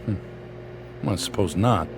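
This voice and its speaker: An actor playing a driven, suspicious newspaper editor in a radio drama, curt, testy and quick to get defensive. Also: male voice, lowish voice, background noise, natural voice. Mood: probing. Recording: radio drama with music underneath.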